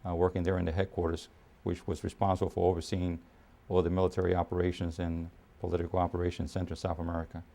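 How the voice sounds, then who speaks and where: A man in his fifties from Puerto Rico, raised in New York, speaking as an army officer in a documentary interview, measured with frequent pauses and fillers. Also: low voice, completely flat delivery, creaky, warm tone. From Puerto Rico, raised in New York City. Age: 50s.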